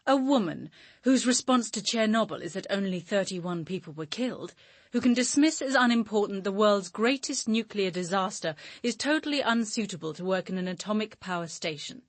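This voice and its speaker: An actress playing a proud, unyielding administrator in a radio drama, scornful and insistent. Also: female voice, mid-range voice, animated, normal speed, no room sound. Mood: indignant, firm.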